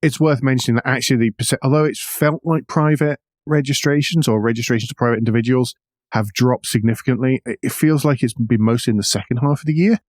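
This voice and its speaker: A man hosting a podcast, explaining the news in a measured way with wry asides. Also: mid-range voice, a little mellow, dry recording, clean studio sound. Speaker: podcast host. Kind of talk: news discussion with commentary.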